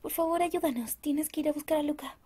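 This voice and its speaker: Woman voicing an anxious, high-voiced teen heroine. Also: brisk, dark tone, lightly acted. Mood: urgent. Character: anxious, earnest teenage superheroine.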